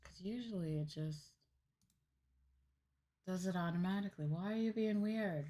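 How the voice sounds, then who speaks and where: A woman's voice: lowish voice, normal speed, warm tone. A woman livestreamer chatting casually and thinking aloud, muttering a little hesitantly to herself. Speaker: VTuber livestreamer.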